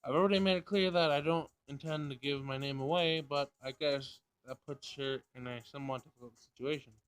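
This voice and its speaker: A man's voice: fairly high pitch, fairly even intonation, a little fast, smooth, low-quality recording. A man reading visual novel narration aloud, sometimes stumbling over the text and rereading it.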